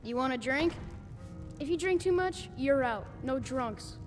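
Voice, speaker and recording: Actress as brusque female innkeeper, fantasy game, high pitch, short curt phrases, long reverb. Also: lively intonation, measured pace. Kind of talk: scripted game dialogue. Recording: long hall reverb, faint music.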